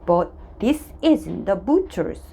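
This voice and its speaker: A woman's voice: medium pitch, sing-song, slow pace, gravelly, dark tone, a little hiss or hum, full character voice. A woman voicing a polite, puzzled male bank manager from a storybook, formal and exclaiming.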